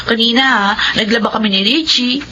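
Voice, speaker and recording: An actress playing a caring, dutiful college-age daughter in a Filipino radio drama, gentle and respectful. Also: female voice, a little high, a little fast. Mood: supportive. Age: young adult. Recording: muffled, noisy radio drama broadcast.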